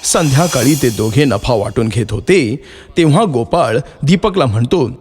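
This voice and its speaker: A man's voice: medium pitch, animated, moderate pace, darkish tone, faint music behind. A man narrating a scripted moral story for children.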